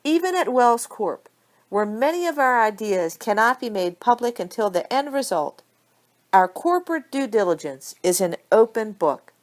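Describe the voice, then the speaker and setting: A confident female corporate lawyer from an audiobook story, voiced by a woman reader. She is devoted and tender beneath the poise, and speaks in a measured, earnest way. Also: fairly high pitch, big pitch swings, pitched above the performer's own voice. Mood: earnest, assured.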